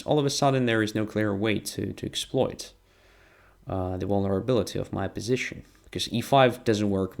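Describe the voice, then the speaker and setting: A man with a Ukrainian accent commentating live on chess, thinking aloud in short, halting phrases. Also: on the low side, gravelly. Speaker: chess streamer and international master. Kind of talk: live game commentary and analysis.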